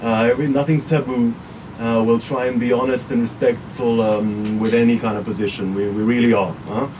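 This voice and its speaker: A man with a cold talks in a stuffy, nasal voice, wandering off on tangents and poking fun at himself. He is not a native English speaker and co-hosts a college radio show. The mic sits some way off, and steady noise hums behind him. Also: mid-range voice, a little fast. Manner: chatty, digressive, self-deprecating. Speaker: economics professor co-hosting a radio show. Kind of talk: casual radio chat. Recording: distant mic with noisy background.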